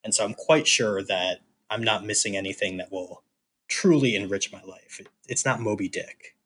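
A man's voice: fairly low pitch, normal speed, a bit bright, husky. A man co-hosting a podcast in casual chat, opinionated and emphatic in how he puts things. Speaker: podcast co-host.

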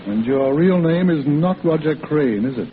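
A man's voice: on the high side, animated, a little hiss or hum, natural voice. Actor as a fatherly doctor, tinny old radio. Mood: earnest, probing. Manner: avuncular, deliberate, probing. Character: kindly, fatherly head doctor of a rehabilitation hospital. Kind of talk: 1940s radio drama acting.

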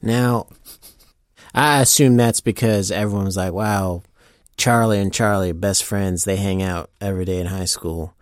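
A man with a very low voice co-hosting a comedy podcast. He teases in a dry deadpan. The recording is close and dry. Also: normal speed, dry recording. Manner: deadpan, teasing. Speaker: podcast co-host. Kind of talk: comedic banter.

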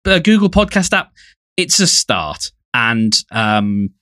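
A male podcast host talks casually and thoughtfully, circling around his point and restarting sentences. His voice sits at an average pitch. The sound is very dry, without room echo. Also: very animated, slow pace, bright, forceful tone.

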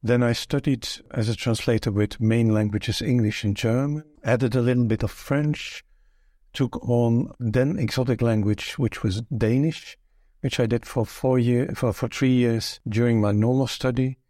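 A man's voice: medium pitch, fairly even intonation, unhurried, dark tone, no echo, clean studio sound. An older man from Belgium whose first language is Dutch, recalling his career thoughtfully as an interview guest with frequent restarts. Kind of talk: relaxed conversational interview.

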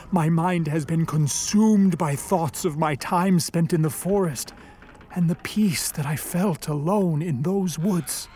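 A man's voice: high voice, darkish tone. A man playing a remorseful created monster in a radio play, pleading in formal, eloquent, solemn speech.

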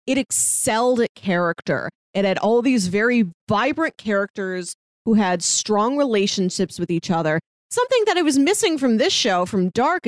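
A woman co-hosting a podcast, casually discussing a TV series in an animated, opinionated way. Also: mid-range voice, no room sound, clean studio sound. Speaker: podcast co-host.

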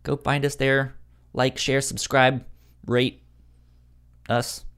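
A man's voice: medium pitch, little pitch movement, slow pace, a bit bright, clean tone. Casual man hosting a podcast. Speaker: podcast host.

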